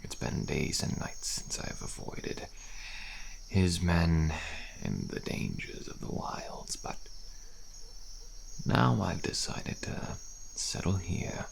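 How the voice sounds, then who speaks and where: A man voicing a world-weary, bitter deserter soldier on the run in an audio roleplay, speaking in a gravelly, breathy murmur with halting, musing phrases. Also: low-pitched, big pitch swings, a little hiss or hum, heavily acted, low-quality recording. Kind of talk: audio roleplay, slow addressed monologue. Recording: faint outdoor night insect ambience.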